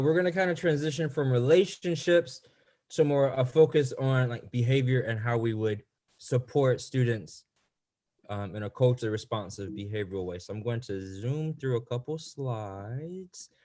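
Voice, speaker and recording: A man's voice: medium pitch, darkish tone. A man with a mid-range voice presents on a video webinar in a loose, conversational way, filling his sentences with "um" and "you know." He speaks as a special education teacher, through a computer mic on a call.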